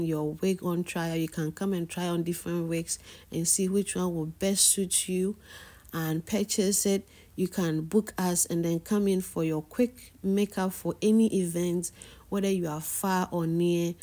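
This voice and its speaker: A woman speaks in a lowish, mellow voice, chatty and enthusiastic, sprinkling in "you know" as she walks through a small indoor salon. She sounds like a casual vlogger filming on a handheld camera. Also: steady tone, unhurried. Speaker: wig maker and hairstylist giving a salon tour. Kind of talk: casual home studio tour vlog.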